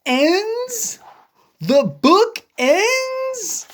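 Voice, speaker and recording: A man's voice: very high-pitched, sing-song, very slow, pitched above the performer's own voice, full character voice. Man doing a naive, anxious storybook elephant, drawn out. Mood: panicked. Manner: wondering, earnest, easily astonished. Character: big, naive, anxious male elephant from a picture book. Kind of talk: animated children's read-aloud.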